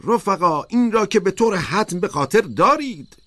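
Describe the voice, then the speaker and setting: A man's voice: a little high, a bit bright, voice pushed up. A man voicing a sly, fervent propagandist pig in a storybook, speaking in an emphatic, insistent, oratorical way. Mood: emphatic, triumphant. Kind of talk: audiobook character voice.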